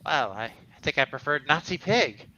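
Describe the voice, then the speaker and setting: Man acting brash superhero joker, forceful, huge pitch swings, comic book reading, faint noise. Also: big pitch swings, normal speed. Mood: sarcastic. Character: wisecracking, brash, needling male superhero. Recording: dull audio with faint noise.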